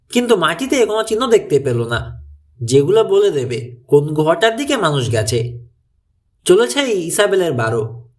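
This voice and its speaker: Male narrator for mystery audiobook, higher-pitched voice with animated, swinging intonation. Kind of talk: scripted narration of a mystery thriller story.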